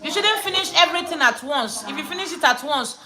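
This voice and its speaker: A woman from Nigeria with a Nigerian accent, chatting casually on a live stream from home. She tells stories in an animated, chatty way and drops pidgin slang. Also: high-pitched, quick pace, crowd noise. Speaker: livestream host.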